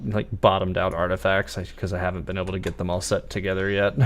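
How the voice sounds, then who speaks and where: A man with a fairly low voice streams a video game live. He talks casually and rambles along, thinking out loud as he plays. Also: little pitch movement, fast, slight background noise. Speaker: game livestreamer. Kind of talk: casual live gameplay commentary.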